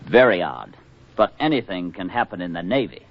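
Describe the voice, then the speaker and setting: An actor playing a sardonic navy diver with a laconic, dry delivery in a 1940s radio drama. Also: male voice, sits low, sing-song, fairly quick, heavily acted. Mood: curt, wry. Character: sardonic, curt male navy diver Wilson in hospital. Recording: dull vintage 1940s radio recording.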